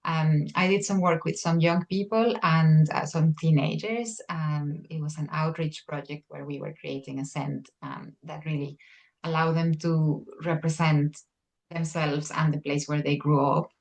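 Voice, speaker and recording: Low-voiced reflective woman. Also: steady tone, measured pace, gravelly, a little mellow. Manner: reflective, many fillers and restarts. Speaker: perfumer and psychotherapist. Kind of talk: conversational interview answers.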